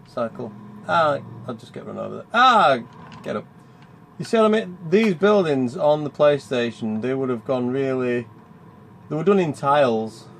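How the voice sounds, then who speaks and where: A man's voice: medium pitch, creaky, a little hiss or hum. A man hosting a game review with casual, rambling, self-deprecating commentary as he plays. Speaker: video game reviewer and channel host.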